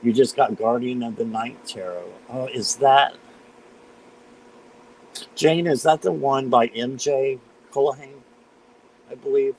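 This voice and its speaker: A man with a slight cold and a hoarse voice, chatting casually as he hosts a live tarot stream and greets viewers by name. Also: medium pitch, a little slow, pauses often, a little mellow, rough recording.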